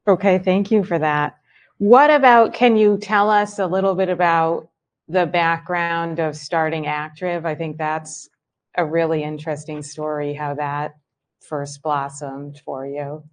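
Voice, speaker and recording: Slow-spoken woman hosting a talk. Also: sits low, steady tone. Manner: slow, measured, gracious. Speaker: event host, moderator. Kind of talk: hosting an interview on stage.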